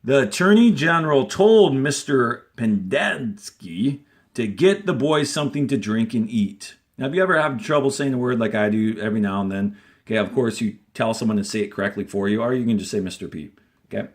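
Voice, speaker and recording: An animated man reads aloud like a schoolteacher in front of young pupils. His pitch moves widely as he stresses key words, and his delivery is instructive and emphatic, slipping between reading and explanation. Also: brisk. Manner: emphatic, instructive, checking in with "okay?". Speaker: fourth-grade teacher. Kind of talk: expressive read-aloud with teaching asides.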